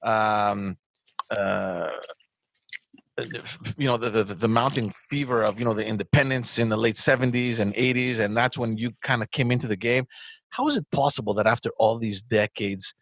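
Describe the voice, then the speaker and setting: A man's voice: lowish voice, moderate pace, fairly bright tone, no echo. Man host asking earnest questions. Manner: earnest, searching questions with restarts. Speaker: podcast host. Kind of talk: interview questions.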